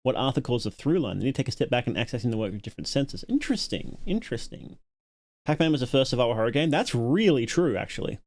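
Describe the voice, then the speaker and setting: Male streamer, mid-pitched, thinking out loud in casual reaction commentary. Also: big pitch swings, quick pace. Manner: muses aloud, reads viewer comments. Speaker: livestream host reacting to a horror series.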